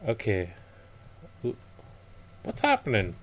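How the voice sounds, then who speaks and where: A man with a croaky voice casually commentating gameplay, rambling and thinking aloud. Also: lowish voice, wildly swooping pitch, slow, a little hiss or hum. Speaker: let's play commentator.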